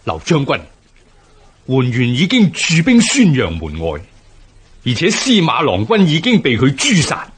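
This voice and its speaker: Casting: dutiful, worried officer in radio drama, husky, somewhat high, lively intonation. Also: male voice, measured pace, background noise. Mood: anxious, dutiful. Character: deferential, anxious military aide reporting to his general. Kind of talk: Cantonese radio historical drama acting.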